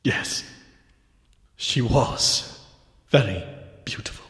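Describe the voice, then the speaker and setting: An actor playing a grave, horrified gentleman in a gothic radio play, with a solemn, ominous, deliberate delivery. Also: dry recording, clean studio sound. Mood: subdued, uneasy. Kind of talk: melodramatic radio drama narration and acting.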